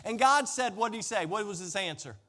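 A man's voice: high-pitched, expressive, a little fast, sharp tone. A middle-aged American man preaching a sermon in a conversational, emphatic way, with storytelling, repetition and rhetorical questions. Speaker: pastor preaching.

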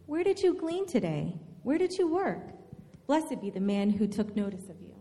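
A woman playing a caring older mother-in-law in a dramatic scripture reading, measured and earnest as she gives counsel. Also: fairly high pitch, very animated, dark tone. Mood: grateful, curious. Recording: long hall reverb.

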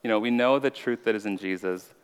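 A man's voice: lowish voice, fairly quick, clean tone. A man giving an earnest, conversational sermon as an informal preacher with a slight stutter. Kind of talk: conversational sermon with personal testimony. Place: large reverberant room. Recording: reverberant hall.

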